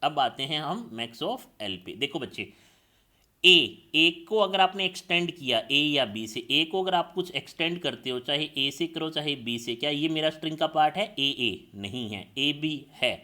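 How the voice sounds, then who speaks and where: A man giving a classroom lecture, with a bright, forceful voice pitched a little high. His voice rises and falls a lot as he asks his own questions and answers them step by step, calling his students children. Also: expressive, moderate pace. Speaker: teacher.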